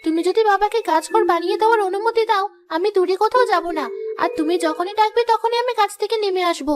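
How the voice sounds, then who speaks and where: A woman's voice: very high-pitched, quick pace, a crowd in the background. A woman voicing a playful, stubborn fifteen-year-old girl with a childlike, pleading manner. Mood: eager.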